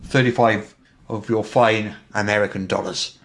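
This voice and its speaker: A man's voice: on the low side, steady tone, normal speed, fairly bright tone. A man co-hosting a podcast, casually chatting through gaming news. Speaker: podcast co-host.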